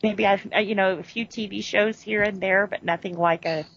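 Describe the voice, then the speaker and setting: A woman's voice: medium pitch, fairly even intonation, moderate pace, gravelly, fairly bright tone, muffled recording, slight background noise. A woman co-hosting a podcast in casual chat, rambling and correcting herself as she goes, laughing often. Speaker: podcast co-host.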